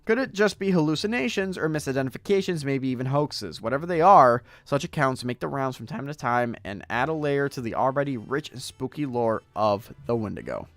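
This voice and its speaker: Brief: hoarse male stream host, lively pitch, dry close mic, faint music behind. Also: fairly quick, hardly any pauses, dry recording. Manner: rambling, talks to chat while browsing. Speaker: podcast host. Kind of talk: reading aloud and casual chat on a livestream.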